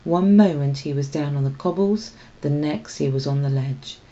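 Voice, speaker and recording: A woman reading a children's story aloud as expressive scripted narration. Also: deep voice, normal speed, muffled recording. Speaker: storybook reader.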